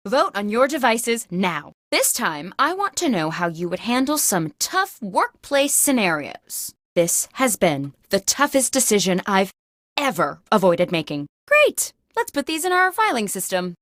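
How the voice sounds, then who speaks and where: A woman's voice: mid-range voice, a bit bright, no room sound, clean studio sound. A woman playing a chipper, insecure, scatterbrained office boss as the host of a video game, with an over-eager, theatrical delivery. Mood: nervous, flustered.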